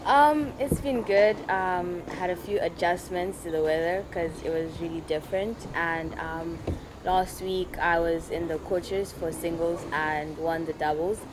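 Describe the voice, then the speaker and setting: Low-voiced teen girl from Zimbabwe. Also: unhurried, noisy background. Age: teens. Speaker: junior tennis player, guest. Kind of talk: casual interview answers. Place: outdoor tennis court.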